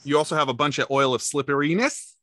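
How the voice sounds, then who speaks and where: A man's voice: fairly high pitch, quick pace, bright, forceful tone, no room sound, studio quality. A man running a tabletop game as game master over a video call, laid-back and teasing, laughing easily.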